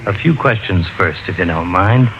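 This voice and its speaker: A man's voice: sits low, animated, normal speed. An actor playing a businesslike, weary male police lieutenant in an old radio detective drama. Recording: dull, hissy old radio recording.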